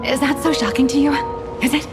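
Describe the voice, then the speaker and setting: A woman's voice: high-pitched, fairly quick, loud music behind the voice, lightly acted. A woman voicing a breezy, cheeky female rogue author in a video game, playful and gossipy. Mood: defensive, whiny.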